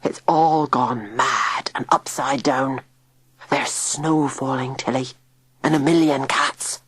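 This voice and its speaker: A woman's voice: a bass voice, slow pace, husky, no echo, full character voice. A woman reader voicing Digby, a frightened, rustic old man who talks in gasps and grumbles. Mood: fretful grumbling. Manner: rustic, fretful, gasping.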